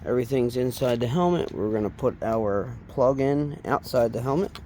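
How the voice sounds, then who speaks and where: A man giving a casual, unscripted hands-on tutorial, speaking haltingly and trailing off as he works with his hands. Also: mid-range voice, darkish tone, a little hiss or hum. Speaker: DIY installation demonstrator.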